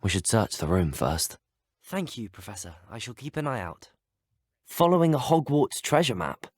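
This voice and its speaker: An actor playing an earnest, curious teenage wizard student in a video game, speaking politely with a British accent. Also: male voice, mid-range voice, no echo, clean studio sound. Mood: curious, composed. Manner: polite, well-spoken. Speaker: player character voice.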